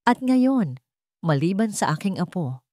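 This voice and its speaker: A woman voicing a calm but weighty old patriarch, a wounded grandfather in an audio drama story. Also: mid-range voice, unhurried, dry recording, studio quality. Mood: stern.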